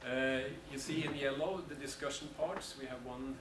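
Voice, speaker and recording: A man talks through the plans for a seminar day, pausing often and restarting his sentences. He sounds set back from the microphone in an echoey meeting room. A steady noise runs under his voice. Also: medium pitch. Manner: hesitant, many fillers and restarts. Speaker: seminar organizer. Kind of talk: announcements at a seminar. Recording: echoey room from far off, noisy.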